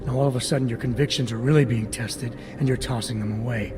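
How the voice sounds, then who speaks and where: An actor playing a stern, pragmatic agent in a video game cutscene, speaking in a blunt, matter-of-fact way. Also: male voice, mid-range voice, fairly even intonation, fast talker, close to an everyday voice. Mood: blunt, irritated. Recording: music behind the voice.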